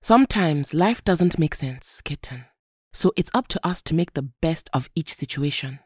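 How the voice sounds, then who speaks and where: A woman playing a calm, motherly heroine in an audio drama, soothing and measured, firm but kind. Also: low-pitched, husky, no echo, studio quality.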